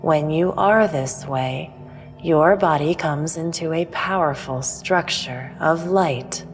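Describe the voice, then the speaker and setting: Woman playing a low-voiced, slow, serene alien teacher. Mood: serene, instructive. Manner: solemn, measured, didactic. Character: serene, preachy female alien spiritual teacher, Maya. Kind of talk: audio drama acting. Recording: long reverb, music underneath.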